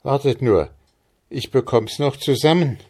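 A man voicing a spiteful little fire goblin in a storybook reading, rasping and squawking as he rants. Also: high voice, lively intonation, slow pace, voice pushed up, heavily acted. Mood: gloating impatience. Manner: shrieking, repetitive ranting. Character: gleeful, spiteful little male fire goblin, cackling and ranting. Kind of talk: fairy-tale storybook reading.

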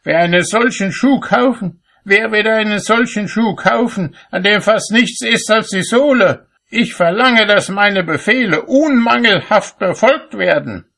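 An irascible master cobbler from a storybook. His voice leaps very high as he scolds and shouts. He is indignant and finds fault with everything and everyone. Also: measured pace, creaky, few pauses, full character voice. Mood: furious.

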